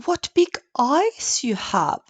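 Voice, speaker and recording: A woman's voice: high voice, sing-song, slow pace, voice pushed up, exaggerated voice. A woman voicing a sweet, innocent little girl from a storybook, with a singsong, wide-eyed manner. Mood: innocent, puzzled.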